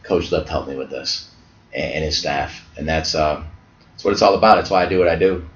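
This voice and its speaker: Deep-voiced earnest coach. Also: male voice, brisk. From Ohio. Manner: earnest, repeats words as he restarts. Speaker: high school basketball coach. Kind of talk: interview guest. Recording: echoey dull lo-fi audio.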